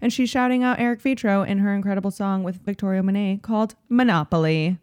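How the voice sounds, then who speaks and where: A woman from Chicago co-hosting a podcast, bubbly and quick to laugh. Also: mid-range voice, a little mellow, soft background music. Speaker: podcast co-host. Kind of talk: casual comedic chat.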